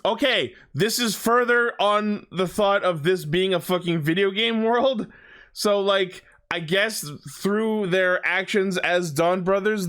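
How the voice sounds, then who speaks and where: Man, podcast host, high pitch, forceful bright tone, casual opinionated fan chat, swears freely. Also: smooth.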